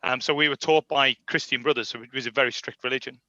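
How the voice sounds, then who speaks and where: A man's voice: mid-range voice, steady tone, fast, pushed and bright, no echo, lo-fi sound. A man from Liverpool speaking informally as a guest, telling his own story in a chatty, self-deprecating way. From Liverpool, England. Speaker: guest speaker, industry professional. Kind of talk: informal personal career story.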